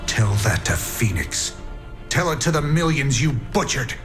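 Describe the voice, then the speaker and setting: A man playing a gruff, betrayed rebel soldier in a video game, bitter and accusing. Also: mid-range voice, very animated, unhurried, over music. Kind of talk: video game cinematic acting.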